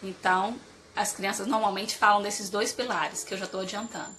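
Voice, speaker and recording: A woman's voice: mid-range voice, steady tone, normal speed, fairly bright tone, slight background noise. A woman with a cold and a nasal voice, giving an informal motivational talk and asking her audience many rhetorical questions. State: has a cold. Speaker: speaker giving a live talk on life stages.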